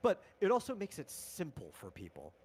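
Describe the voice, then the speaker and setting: A man's voice: a little high, huge pitch swings, normal speed, vocal fry, a little mellow, slightly rough. A man talking casually on a livestream as a tabletop game designer, explaining rules in a rambling, informal way.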